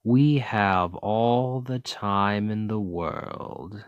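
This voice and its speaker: A man voicing a smug, domineering demon king in an ASMR roleplay, speaking in a deliberate, condescending and coaxing way. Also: lowish voice, lo-fi sound, noisy background. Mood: confident, smug. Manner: slow, deliberate, condescending, seductive menace. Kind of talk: scripted ASMR roleplay monologue.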